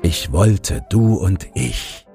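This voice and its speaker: Ein Mann liest als Hörbucherzähler ausdrucksvoll einen geschriebenen Märchentext vor. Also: male voice, low voice, big pitch swings, drawn-out words. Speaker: audiobook narrator and podcast host. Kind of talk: scripted fairy-tale narration. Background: soft music.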